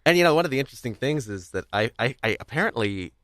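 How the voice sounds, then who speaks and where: A man's voice: medium pitch, expressive, quick pace, a bit bright, no room sound. A man hosting a podcast in casual conversation, speaking in a hesitant, rambling, stop-start way. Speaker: podcast host. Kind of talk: casual discussion of audio drama.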